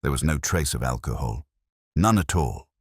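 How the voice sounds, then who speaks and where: A man playing a weary, erudite police inspector, narrating his case in a measured, melancholy, sardonic way as a literary audio drama. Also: extremely low pitch, expressive, husky, no echo, studio quality, full character voice. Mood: brooding.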